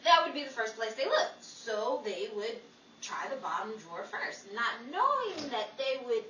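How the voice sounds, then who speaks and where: A woman's voice: a little high, sing-song, normal speed, sharp tone, distant mic. An actress playing a cocky, chattering woman detective in 1940s radio comedy style, breezy and smug. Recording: echoey room, off mic, faint noise.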